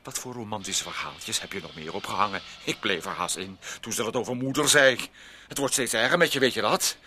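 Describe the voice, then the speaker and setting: A man's voice: lowish voice, fairly quick, sharp tone, slight background noise. A man acting in a Dutch radio play as a domineering, jealous bully with a sneering, taunting manner. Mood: scornful, taunting.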